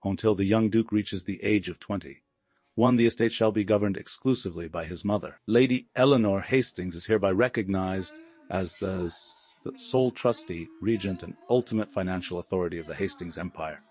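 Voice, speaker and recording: A man's voice: deep voice, moderate pace, darkish tone. A man voicing a stern family lawyer who speaks formally and with authority in an audiobook. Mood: grave authority. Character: stern male family lawyer, loud and absolutely final.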